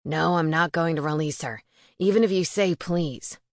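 A woman voicing a gruff, scowling, lazy male sheriff in an audiobook, curt and irritable. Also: deep voice, normal speed, no room sound, studio quality. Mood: irritated.

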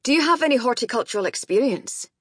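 A woman narrator voicing a gruff, grudging Scottish man in charge, with a sharp, barking manner, in an audiobook. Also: sits high, animated, fast, no room sound, studio quality, voice pushed up, full character voice. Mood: brusque. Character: gruff, grudging Scottish male boss, head gardener.

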